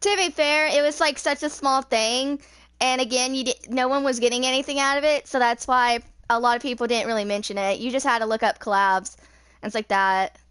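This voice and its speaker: A woman's voice: fairly high pitch, fairly even intonation, a little fast, fairly bright tone, smooth, no room sound. A woman in her twenties chatting casually while gaming, with a rambling, informal way of talking. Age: 20s. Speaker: video game streamer.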